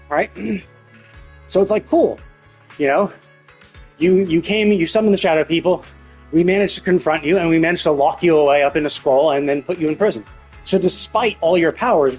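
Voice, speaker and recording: A man streams games with a fairly high, husky voice. He talks casually but emphatically, opinionated and quick to swear. Faint music plays behind him. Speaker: game streamer. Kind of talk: casual gaming commentary rant.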